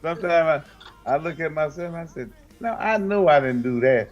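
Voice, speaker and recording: A man co-hosting a radio show, telling jokey stories in animated banter with Southern slang. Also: on the high side, fairly quick, highs cut off. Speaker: co-host. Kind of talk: joking banter.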